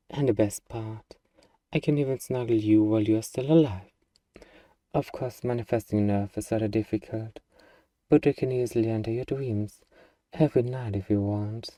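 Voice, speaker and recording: A man's voice: on the low side, normal speed, mellow, no room sound, distant mic, natural voice. A man playing an eager, bargaining demon who craves a cuddly pet, in a playfully earnest, wheedling ASMR roleplay monologue. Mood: excited, cozy.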